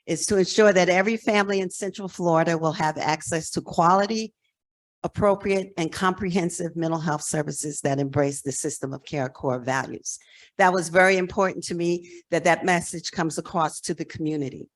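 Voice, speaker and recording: A woman's voice: on the low side, normal speed. An American woman in her sixties giving a conference presentation in a frank, emphatic, conversational way. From New Jersey, United States. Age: 60s. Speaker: presenter, nonprofit executive director.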